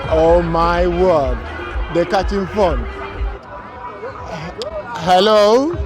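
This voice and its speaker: A man's voice: high-pitched, very slow. A man hosting friendly, upbeat interviews outdoors at a pride festival, with frequent ums. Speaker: host. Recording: outdoor crowd and music behind. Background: crowd and music.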